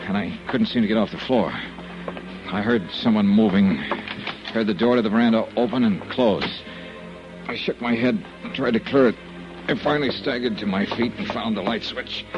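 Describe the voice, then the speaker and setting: An actor playing a hard-boiled, sardonic private investigator in a 1950s radio drama, with wry, insistent delivery. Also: male voice, lowish voice, lightly acted. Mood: tense narration. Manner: wry, insistent, clipped questioning. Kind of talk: 1950s radio detective drama acting and narration. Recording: dull, noisy 1950s radio recording.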